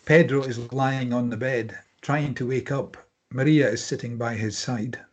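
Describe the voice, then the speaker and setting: Husky man reading screenplay directions. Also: mid-range voice, fairly even intonation, measured pace. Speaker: stage directions reader at a table read. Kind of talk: scripted narration of screenplay directions.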